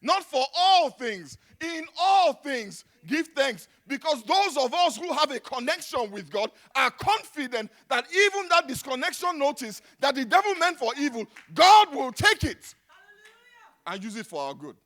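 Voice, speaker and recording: Nigerian pastor with a lively, swooping voice. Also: male voice, extremely high pitch, unhurried. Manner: dramatic, swings from hushed to shouting. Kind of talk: sermon.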